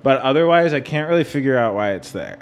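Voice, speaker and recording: A man hosting a podcast in casual music discussion, talking in a halting way and peppering it with "like". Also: medium pitch, lively intonation. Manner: halting, hedging, heavy use of "like". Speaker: podcast host.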